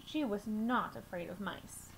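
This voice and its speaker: A young woman reading a picture book aloud to children, with measured, deliberate phrasing and expressive emphasis, recorded on a webcam mic in a small room. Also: mid-range voice. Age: young adult. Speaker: children's storytime reader at a school. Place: small room at home, indoors. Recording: noisy home webcam recording.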